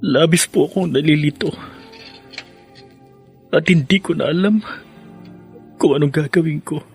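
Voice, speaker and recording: A man's voice: a little high, unhurried, gravelly, faint music behind. A young man playing a sorrowful, confused son, pleading with heavy feeling in a Filipino radio drama.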